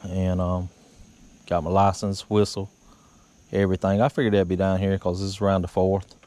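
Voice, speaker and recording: A middle-aged man, chatting casually in a relaxed drawl while fishing from a small boat on a river. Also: low voice, moderate pace. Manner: relaxed drawl with frequent uh fillers. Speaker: fishing vlogger. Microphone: action camera on the boat.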